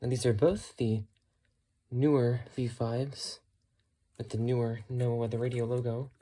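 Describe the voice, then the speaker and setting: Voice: medium pitch, little pitch movement, measured pace, warm tone. A hobbyist vlogger giving a casual, unscripted show-and-tell in a matter-of-fact way, musing tentatively while talking. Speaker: hobbyist vlogger showing thrift-store radio finds.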